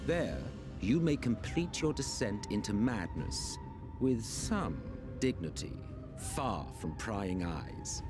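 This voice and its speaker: Slow, grandiose villain, music behind. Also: male voice, on the low side, sing-song. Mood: cold, grave. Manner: slow, grandiose, drawn-out formal delivery. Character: arrogant, theatrical villain, weary and menacing. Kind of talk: dramatic video game voice acting. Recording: loud game music under the voice. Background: orchestral game music.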